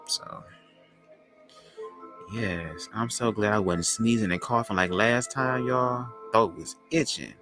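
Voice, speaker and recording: A man with a low, raspy voice, hosting a podcast as a loose, slangy spiritual monologue. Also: over music. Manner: loose, slangy, drawn-out talk. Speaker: podcast host.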